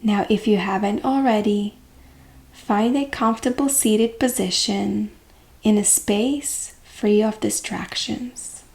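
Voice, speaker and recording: Female meditation guide: very unhurried, calming coach delivery, mid-range pitch, gentle phrasing with long pauses. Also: vocal fry.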